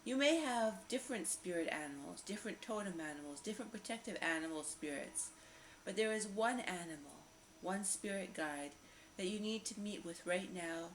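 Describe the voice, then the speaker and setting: A woman narrating a guided meditation visualization in a slow, repetitive, soothing manner with lingering pauses. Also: lowish voice. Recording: roomy echo, faint background noise.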